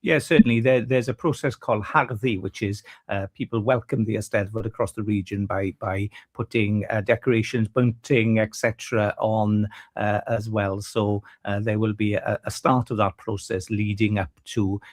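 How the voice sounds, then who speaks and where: A man's voice: lowish voice, fairly even intonation, normal speed, few pauses. A man, a radio guest talking about an event in a hesitant way with many fillers. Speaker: studio guest, event organiser. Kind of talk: radio interview.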